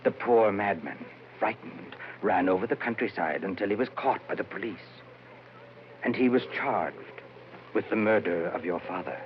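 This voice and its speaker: Man acting undead mad poet, eerie, sorrowful, low pitch, portentous, haunting, vintage radio horror, faint hiss. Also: natural voice. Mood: ominous. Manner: slow, portentous, haunting delivery. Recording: muffled, hissy old radio recording.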